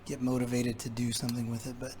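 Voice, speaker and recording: A man talking casually and haltingly as he thinks aloud, giving hands-on commentary as a repair vlogger. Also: medium pitch, monotone, very noisy. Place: indoor repair workshop.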